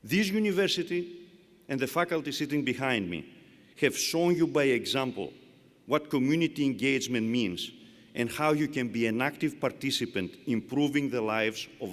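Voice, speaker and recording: A middle-aged man with a Greek accent, a university chancellor giving a measured, emphatic commencement speech in a large arena. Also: mid-range voice. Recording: long arena reverb.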